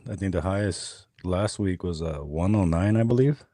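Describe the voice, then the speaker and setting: A man in his fifties with a lowish voice, co-hosting a podcast in a blunt, joking way. The sound is dry and close. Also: moderate pace, warm tone, dry recording. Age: 50s. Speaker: podcast co-host. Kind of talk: casual podcast chat.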